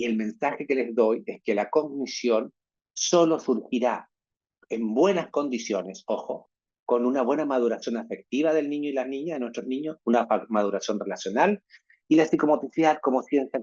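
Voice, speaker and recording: A man's voice: medium pitch, darkish tone, husky, muffled recording. A man giving an online lecture to teachers, constantly adding tag questions. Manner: peppers talk with tag questions.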